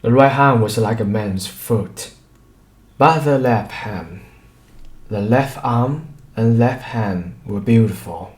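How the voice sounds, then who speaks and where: Slow, deliberate male storyteller in echoey room. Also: on the low side. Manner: deliberate, with pauses between short phrases. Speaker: audiobook reader. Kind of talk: scripted story narration.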